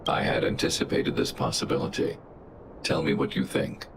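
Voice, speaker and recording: An actor playing a huge, calm male robot overseer who speaks in a precise, mechanical monotone, in a game cutscene. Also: moderate pace, noisy background, close to an everyday voice. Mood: formal. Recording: studio dub with music underneath.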